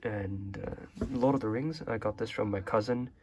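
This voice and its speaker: A young man from Hong Kong, a native Chinese speaker, casually showing his bookshelf in a relaxed, rambling way with many fillers. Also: lowish voice, moderate pace, slight background noise. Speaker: vlogger.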